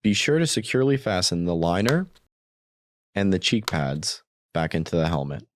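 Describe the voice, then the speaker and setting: A man's voice: low voice, lively intonation, moderate pace, slight background noise. A man narrating a scripted product tutorial, explaining each step plainly as a demonstrator.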